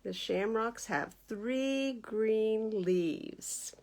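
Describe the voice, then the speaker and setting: A woman's voice: fairly high pitch, very slow, a little mellow. A woman hosting a children's storytime, reading a picture book aloud and chatting to young listeners with questions and explanations. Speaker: library storytime host.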